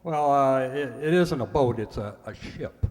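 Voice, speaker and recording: A man's voice: medium pitch, lively intonation, darkish tone, close to an everyday voice. A man playing an earnest, literal-minded ship's purser who gives hesitant answers as a radio quiz contestant. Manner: hesitant, halting, plainly literal. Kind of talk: recreated old-time radio quiz show. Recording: echoey hall with long reverb.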